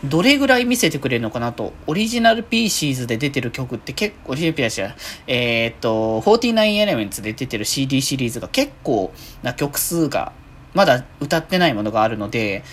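A woman's voice: very deep voice, very animated, racing, slight background noise. A woman hosting a casual solo podcast, rambling along and often correcting herself as she goes. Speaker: podcast host. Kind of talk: casual solo free talk.

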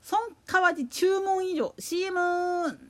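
A woman's voice: extremely high pitch, slow, fairly bright tone. A woman giving casual solo commentary as a podcast host, rambling and opinionated, with emphatic repetition.

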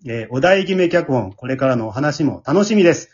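A man's voice: medium pitch, lively intonation, fairly quick, darkish tone, husky. A man with a Kansai accent hosting a casual after-talk, switching between polite announcements and friendly chat. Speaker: host of an amateur radio drama group's after-talk. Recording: muffled lo-fi online audio.

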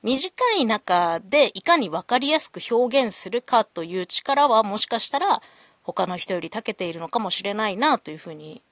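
A woman's voice: medium pitch, expressive, normal speed, a bit bright, dry recording. A woman hosting a solo audio show, talking casually to listeners in a polite, reflective, explanatory way.

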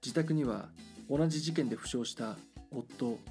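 A man reading scripted documentary drama narration in a measured, serious news-report manner. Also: mid-range voice, a little mellow, soft background music.

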